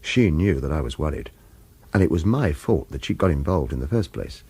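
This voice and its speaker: A man's voice: very deep voice, expressive, a little fast, warm tone, slightly rough, exaggerated voice. An actor playing a wary hitman who narrates a radio drama and speaks protectively, with hesitant reassurance. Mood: brooding, watchful. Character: wary male hitman narrator, protective of his lover, evasive. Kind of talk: radio drama acting with first-person noir narration.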